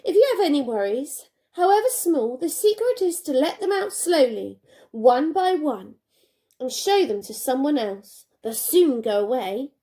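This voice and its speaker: A woman's voice: high voice, big pitch swings, unhurried, warm tone, exaggerated voice. A woman voicing a kindly, no-nonsense old lady neighbour in a storybook, speaking firmly and reassuringly. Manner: firm, emphatic, reassuring.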